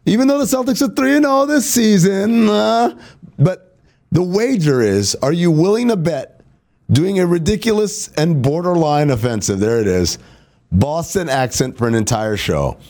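A man's voice: fairly high pitch, animated, normal speed, darkish tone. Playful sports-show host, man. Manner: playful, teasing, laughs often. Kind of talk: sports talk show hosting.

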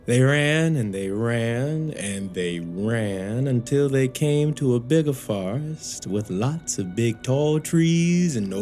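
An actor playing a devoted but wary father and former slave in a period audio drama, with a folksy manner. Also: mid-range voice. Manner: folksy, expressive.

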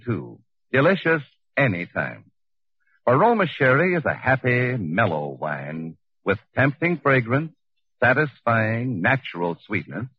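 A man reading a 1940s radio commercial as announcer, with a polished sales pitch and emphatic phrasing. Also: slightly rough, no room sound. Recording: dull, dry vintage radio recording.

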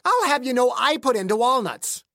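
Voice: mid-range voice, very animated, moderate pace, sharp tone, dry recording, studio quality, pitched above the performer's own voice. A narrator voicing a cheeky small-town wife. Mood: chirpy, indignant.

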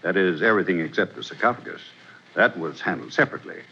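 An actor playing a precise, defensive museum professor who explains himself insistently, in a 1940s radio drama. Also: male voice, deep voice, fast talker, pushed and bright, husky, exaggerated voice. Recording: dull 1940s radio broadcast.

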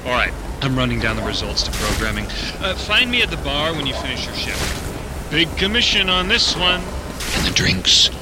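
A man's voice: medium pitch, big pitch swings, moderate pace, heavy background noise, lightly acted. Man acting a smug, swooping company braggart. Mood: smug, mocking. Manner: boastful, mocking, salesman-like. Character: brash, smug, boastful company man chasing commissions. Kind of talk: audio drama acting.

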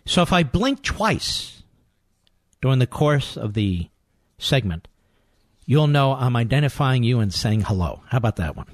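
A male talk radio host whose pitch leaps and dives with every point, teasing his producer and egging on his listeners. His voice is close and dry in the studio, and he sounds emphatic and playful. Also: big pitch swings, unhurried, no room sound. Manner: emphatic, playful, swooping delivery. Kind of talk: talk radio monologue and call-in. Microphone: studio microphone.

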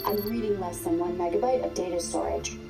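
A woman's voice: fairly low pitch, a little fast, creaky. A woman playing a polite, literal robot nurse in an audio drama, speaking in formal, clipped, machine-like phrases. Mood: obliging, matter-of-fact. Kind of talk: audio fiction acting. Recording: loud music under the voice. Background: loud music.

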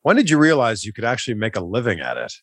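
A man's voice: on the low side, wildly swooping pitch, fast talker, a bit bright, no room sound. A man hosting an interview, asking casual questions and laughing along with his guest. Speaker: interview host.

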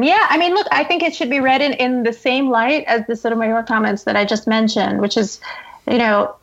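A woman's voice: fairly high pitch, a bit bright, muffled recording. A woman guest journalist giving conversational, analytical political commentary in an explanatory way.

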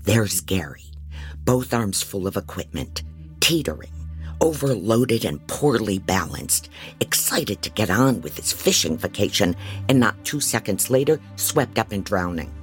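A man's voice: on the low side, sing-song, unhurried, soft background music. A man playing a frantic, disorganized private investigator who narrates an audio drama in a rambling, wry, self-doubting way. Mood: wry, brooding. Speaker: narrator and lead actor. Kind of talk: first-person dramatic audio fiction narration.

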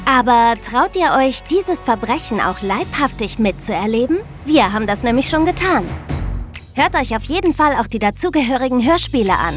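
A woman's voice: fairly high pitch, sing-song, normal speed, music in the background. A young woman playing a sensible podcast co-host, explaining crime stories directly to young listeners in a scripted show. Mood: engaging, explanatory. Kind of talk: lively scripted true-crime podcast for children.

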